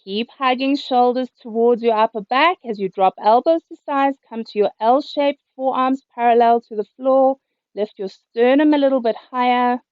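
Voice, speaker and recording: A woman yoga teacher, a little hoarse, calmly cueing breath and movements through a class over a video call. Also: a little high, moderate pace, no echo. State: slightly hoarse. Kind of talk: guided yoga instruction. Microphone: computer mic on a video call. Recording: dull, lo-fi video call audio.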